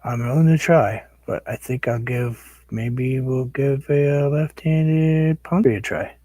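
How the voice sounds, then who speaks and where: A middle-aged man with a raspy voice, rambling casually to viewers as he paints on a live stream. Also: medium pitch, measured pace, dark tone, no room sound. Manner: rambling, talks to viewers while working. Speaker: painting livestream host. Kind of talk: casual chat while painting.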